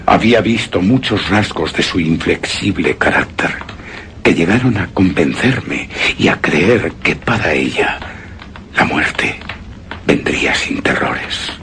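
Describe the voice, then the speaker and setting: An actor playing a grieving, obsessed widower in a suspense and horror radio drama, with a solemn, halting, brooding delivery that drops into whispers. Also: male voice, sits low, animated, creaky, a bit bright, a little hiss or hum, heavily acted. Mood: sorrowful, brooding. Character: grieving, obsessed widower recounting his beloved's death. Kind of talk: Spanish-language suspense and horror radio drama, theatrical monologue.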